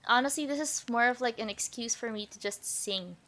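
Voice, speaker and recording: A woman streamer with a very high voice, chatting casually with her viewers in a bubbly, talkative way and laughing often. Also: a little fast, a bit bright. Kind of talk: casual livestream chat.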